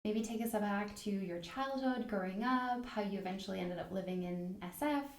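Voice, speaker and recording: A woman in her thirties hosting an interview podcast, upbeat when introducing a guest and gentle and supportive when listening. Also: medium pitch, normal speed, roomy sound. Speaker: podcast host.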